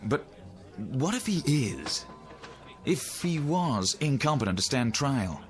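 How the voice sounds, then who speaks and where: A man's voice: medium pitch, very animated, slow pace, soft background music. An actor playing a wry, troubled newspaperman with an arch, mock-formal, hesitant manner, in mid-century television drama style. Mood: troubled, musing.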